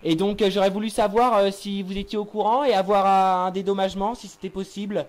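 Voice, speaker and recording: A man's voice: high voice, level tone, brisk, darkish tone, slight background noise. A young man improvising a prank phone call, feigning seriousness in a hesitant but insistent way. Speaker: prank caller.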